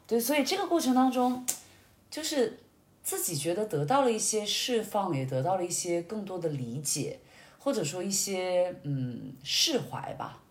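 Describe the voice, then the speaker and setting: A woman sharing experiences in casual conversation, explaining things in a reflective, expressive way. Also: mid-range voice, normal speed. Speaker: podcast guest, amateur acting student.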